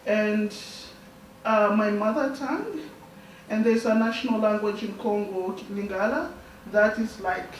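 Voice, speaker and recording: A young woman from Burundi whose first language is Swahili, speaking as a guest and answering audience questions in a soft, halting way, often laughing. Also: mid-range voice, a little hiss or hum. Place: school hall. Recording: echoey hall, distant mic.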